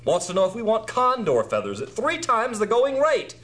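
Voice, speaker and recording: Animated, exasperated theme park boss. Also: male voice, high-pitched, normal speed, heavily acted. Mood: exasperated sarcasm. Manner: exasperated, sarcastic, emphatic. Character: harried, sarcastic male theme park manager. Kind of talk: audio drama acting.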